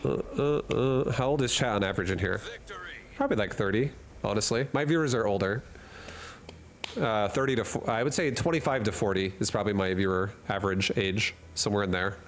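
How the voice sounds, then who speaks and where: A man's voice: lowish voice, brisk. A man streaming a game from a hot room at home, chatting casually and rambling through jokes while he reads chat and laughs often.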